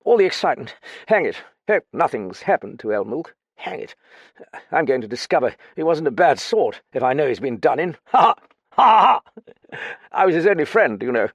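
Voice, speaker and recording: A man's voice: mid-range voice, very animated, a little fast, breathy edge, dry recording, dull audio, pitched above the performer's own voice. A man voicing a lanky, drunk former officer who talks with pompous dignity and vague, blustering self-importance. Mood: tipsy. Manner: slurred dignity, giggling, blustering.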